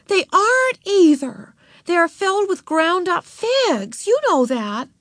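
A woman's voice: very high-pitched, sing-song, a little slow, a bit bright, dry recording, studio quality, voice pushed up, full character voice. A woman voicing a responsible older sister in a storybook, scolding and giving orders with exasperation. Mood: shocked correction.

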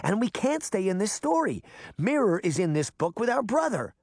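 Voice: medium pitch, very animated, a little mellow, no room sound, studio quality, voice pushed up. A narrator voicing a practical, impatient older sister keeping everyone moving. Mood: brisk. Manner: brisk, impatient.

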